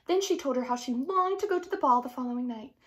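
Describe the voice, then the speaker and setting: A young woman reading a children's story aloud in an expressive, theatrical manner. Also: high voice, quick pace. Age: young adult. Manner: theatrical, explanatory asides. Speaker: storybook reader. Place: small indoor room at home.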